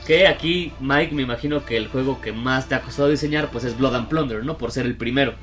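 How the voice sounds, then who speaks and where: A man with a mid-pitched voice hosts a laid-back chat, reading questions with plenty of fillers. Music plays clearly underneath him. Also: brisk. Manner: relaxed, filler-heavy, conversational. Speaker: podcast host reading listener questions. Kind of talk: casual interview chat.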